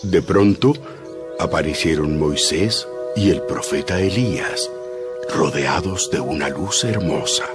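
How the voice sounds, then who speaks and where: A man narrating a dramatized Bible story in a measured, solemn scripted style. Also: deep voice. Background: prominent music.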